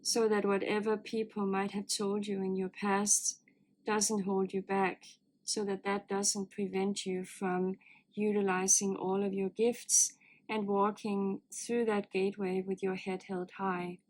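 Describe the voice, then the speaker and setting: Soft-spoken woman with a low, hoarse voice. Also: a little slow, a little hiss or hum, rough recording. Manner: calm, earnest, gently encouraging. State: voice low and a bit hoarse, as with a cold. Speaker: oracle card reader. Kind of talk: spiritual card reading to viewers.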